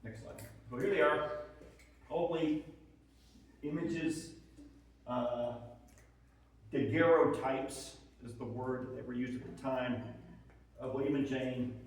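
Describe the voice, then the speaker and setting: A man's voice: medium pitch, steady tone, takes it slowly, pauses often. Man lecturing in a very echoey hall. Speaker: history presenter. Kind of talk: public lecture with slides. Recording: echoey hall, distant microphone.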